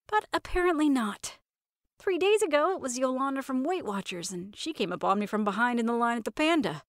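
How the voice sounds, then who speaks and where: A woman's voice: high-pitched, animated, a little fast, no echo, clean studio sound. A woman hosting and narrating a fiction podcast, telling a first-person story in a wry, theatrical way.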